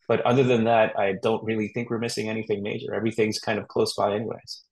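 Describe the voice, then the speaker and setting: A middle-aged man from Honduras, a real estate agent chatting as an interview guest in a relaxed, rambling way with fillers and restarts. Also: on the low side, fast, low-quality recording. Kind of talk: casual interview chat.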